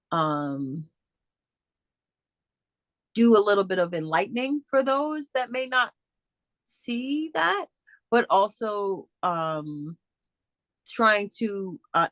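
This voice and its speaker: A woman speaker answering audience questions in a casual, halting way, with many fillers and restarts over a video call. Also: fairly low pitch, a bit bright, no echo. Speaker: guest speaker.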